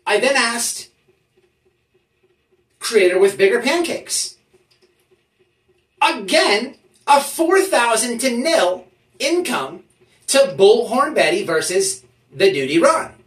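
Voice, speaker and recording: The voice sits back from the mic in an echoey room. It belongs to a YouTube host delivering mock-serious commentary, with pitch that swings widely and an emphatic, official-sounding delivery that halts for effect. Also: big pitch swings, slow, long pauses, fairly bright tone. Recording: roomy echo, distant from the mic.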